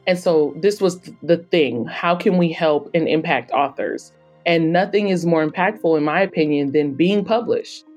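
Woman, podcast guest, conversational explanatory answers, soft music in the background. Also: low-pitched, normal speed. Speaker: podcast guest, author and editor-in-chief.

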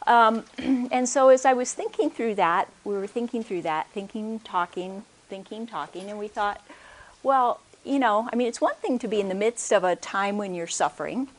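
A soft-spoken woman giving a conference workshop talk into a microphone, hesitant, with many restarts and check-ins with the audience. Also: medium pitch, normal speed. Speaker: workshop speaker. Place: conference room. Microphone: handheld or stand microphone.

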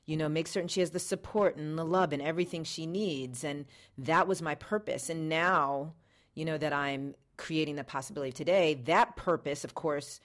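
A woman's voice: sits low, normal speed. A woman in her thirties, the podcast host, introducing an episode in a chatty, reflective way. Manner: chatty, reflective, lots of "you know".

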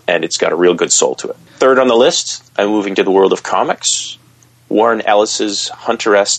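A man's voice: sits low, vocal fry, slightly rough, no echo. A man guesting on a podcast interview, describing favorite characters with enthusiastic storytelling. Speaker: guest author.

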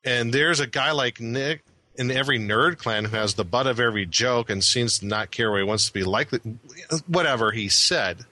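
Sarcastic man, bright dry voice. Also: medium pitch, moderate pace, no echo. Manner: sarcastic, hesitant with fillers. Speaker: podcast co-host. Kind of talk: casual podcast chat.

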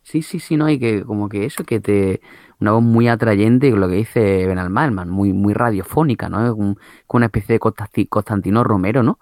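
A man's voice: on the low side, brisk, a little mellow, no echo, studio quality. A man from chatting casually, rambling with many fillers and restarts. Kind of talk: casual chat.

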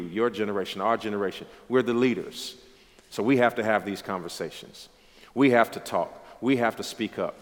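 A man's voice: mid-range voice, in a large hall. A man in his late thirties, a pastor, talking in a dialogue with an emphatic, preacherly way of speaking. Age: 30s.

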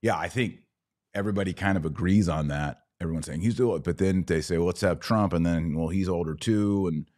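Man, very low-pitched voice, dry close sound, podcast host, casual live commentary, conversational delivery. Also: a little fast, a little mellow, no echo. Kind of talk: casual live-stream commentary, reading viewer comments aloud.

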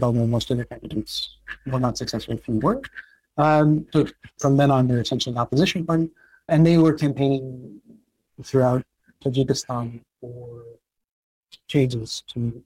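Husky man explaining history at length. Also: medium pitch, darkish tone, dry recording, poor audio. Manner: measured, explanatory, frequent "right?" tags. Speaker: historian guest. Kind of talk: academic interview answer.

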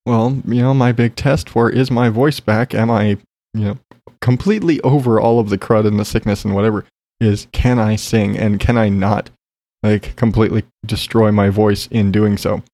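Gravelly, low-voiced congested man rambling. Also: steady tone, moderate pace, warm tone, no echo. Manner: rambling, swears casually, many fillers. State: recovering from illness, congested. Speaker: podcaster. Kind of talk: casual solo monologue.

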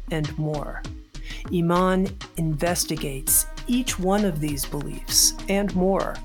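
A woman host reading a scripted introduction of her guest and then asking an opening question in a measured, deliberate way. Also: fairly low pitch. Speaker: podcast host. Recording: faint music underneath.

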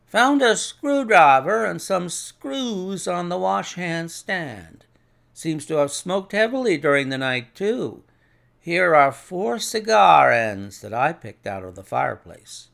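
A man voicing a brisk, hearty, sceptical police inspector in an audiobook, emphatic and plain-spoken. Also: high-pitched, very animated, gravelly, a bit bright, pitched above the performer's own voice, heavily acted. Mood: hearty, matter-of-fact.

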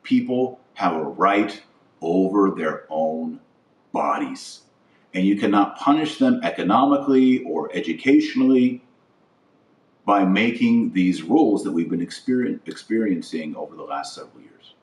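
An American man delivering a political monologue as a podcast host, emphatic and deliberate, stressing his phrases with pauses. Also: low-pitched, lively intonation. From United States. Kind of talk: political platform monologue, speech-like. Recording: roomy echo, distant from mic.

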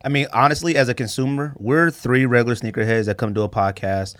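A man hosts a casual podcast in a mid-pitched voice. He talks with effusive enthusiasm, and the sound is close and very dry. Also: fairly even intonation, fast, a bit bright, no room sound. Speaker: podcast host.

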